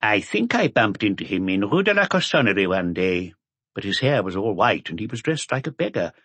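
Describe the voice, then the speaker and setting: A man voicing a little old cafe regular in an audiobook, mildly reminiscing in an unhurried manner. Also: lowish voice, sing-song, fairly bright tone, husky, no echo, full character voice. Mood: musing.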